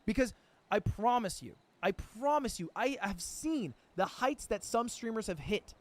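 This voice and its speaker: A man's voice: high-pitched, expressive, measured pace. A man giving emphatic, swearing motivational advice to streamers in a coaching tone.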